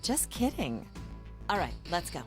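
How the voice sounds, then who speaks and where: An actress playing an inquisitive, self-assured, teasing young woman in a visual novel game, with expressive delivery. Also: on the low side, measured pace, over music. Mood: confident. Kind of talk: dramatic visual novel voice acting.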